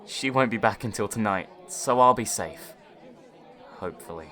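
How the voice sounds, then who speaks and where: A man's voice: on the low side, animated, normal speed, sharp tone, soft background music. Man acting young male agent, overworked mentor, dutiful, anime fan drama, faint background chatter. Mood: friendly, sheepish.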